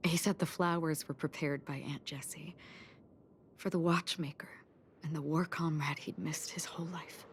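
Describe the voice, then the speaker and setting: An actress voicing a solemn, gentle woman in a game cutscene, speaking softly and breathily in a slow, reflective way. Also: low voice, steady tone, a little hiss or hum. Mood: tender, wistful. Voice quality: soft, breathy, half-whispered. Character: solemn, gentle woman paying quiet tribute to the departed. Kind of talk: scripted game cutscene monologue.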